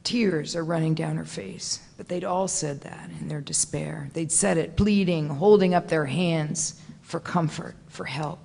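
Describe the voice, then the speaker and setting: A woman narrating a dramatic literary reading on stage with suspenseful, deliberate phrasing. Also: deep voice, vocal fry, a little mellow. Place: large theatre stage. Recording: reverberant theatre hall.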